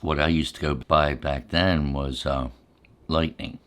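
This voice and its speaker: A middle-aged man telling casual stories in an ASMR style, unhurried and halting as he reminisces. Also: very deep voice, fairly even intonation, a bit bright. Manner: unhurried, halting, reflective recollection. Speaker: ASMR storyteller. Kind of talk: ASMR casual storytelling while eating.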